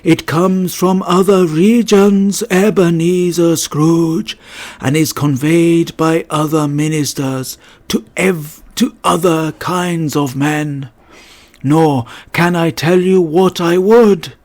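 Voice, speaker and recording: A man voicing the mournful, doomed ghost of a dead businessman in a storybook reading, with slow, wailing declamation. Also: a little high, steady tone, a little mellow, pitched above the performer's own voice. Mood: anguished, weary.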